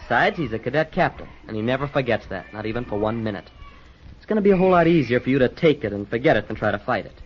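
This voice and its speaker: An actor playing a sensible, helpful teenage cadet in an old-time radio serial, easygoing and reassuring. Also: male voice, mid-range voice, lively intonation, fast, close to an everyday voice. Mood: cautioning. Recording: noisy, muffled old radio recording.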